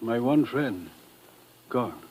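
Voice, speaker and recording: An actor playing a mysterious, earnest secret contact who speaks formally in a vintage radio drama. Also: male voice, mid-range voice, expressive, a little mellow, slightly rough, heavily acted. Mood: grieving. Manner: formal, measured, uncontracted speech. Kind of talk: 1950s radio drama acting.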